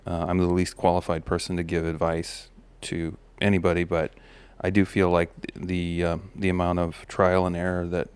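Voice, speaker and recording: Man, low steady voice, smooth with slight gravel, reflective host talking, dry close sound. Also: normal speed, few pauses, dry recording. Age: adult parent of a daughter. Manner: relaxed, musing, many fillers and restarts. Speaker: podcast host. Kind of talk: reflective personal monologue.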